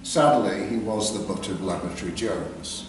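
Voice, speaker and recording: An older man with an English East Midlands accent, telling a story live with careful diction and dramatic pauses. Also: sits low. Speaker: author reading his own work. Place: live reading in a theatre clubroom. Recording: very echoey hall, distant mic, background noise.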